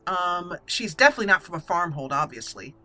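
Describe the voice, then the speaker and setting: Bright-voiced woman thinking aloud over a game. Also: lowish voice, big pitch swings, a little fast, soft background music. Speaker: gamer narrating a roleplay playthrough. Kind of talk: casual game commentary.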